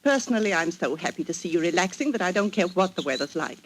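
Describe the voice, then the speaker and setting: A woman's voice: on the low side, lively intonation, fast, close to an everyday voice. A woman playing a fond, teasing young English wife, genteel and gushing, with a British accent in 1940s radio style. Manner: genteel, gushing, gently chiding. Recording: noisy vintage 1940s radio recording.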